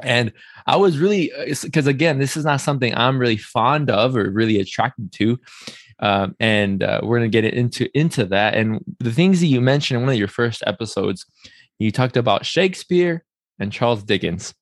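A man hosts a casual podcast interview in a close, dry recording. His voice sits at an average pitch. He is friendly and welcoming, and he often doubles back on words and restarts his sentences. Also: normal speed, dry recording. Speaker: podcast host.

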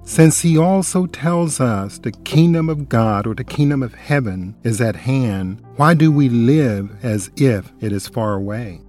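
A man's voice: medium pitch, expressive, darkish tone, soft background music. Earnest man reading scripture. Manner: earnest, questioning, measured reading. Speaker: radio Bible study host.

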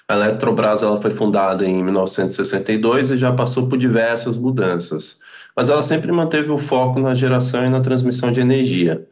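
A young man with a southern Brazilian accent that blends Florianópolis and Paraná speech, his voice sitting at an ordinary middle pitch. He explains things in a measured, patient way as a podcast guest, with the occasional small restart. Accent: mixed southern Brazilian, Florianópolis with Paraná touches. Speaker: energy company account executive, guest.

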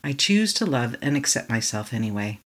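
A meditation guide leading a tapping session, speaking slowly and calmly in short affirmations for the listener to repeat. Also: medium pitch. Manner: calm, slow, repeating affirmations to be echoed back.

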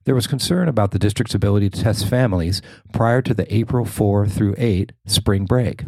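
A man's voice: fairly low pitch, normal speed, dark tone, no echo. A man reading scripted news narration as a podcast host and reporter.

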